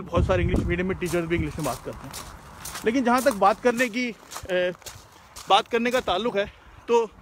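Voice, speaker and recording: A man with a Pakistani Urdu accent speaks in a fairly high, bright voice. He lectures earnestly in a relaxed live-stream way and mixes English words into his speech. Faint road noise runs behind him as he talks on the move, recorded on a phone. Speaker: host answering viewer questions. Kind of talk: casual live-stream talk. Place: outdoors, driving past shops.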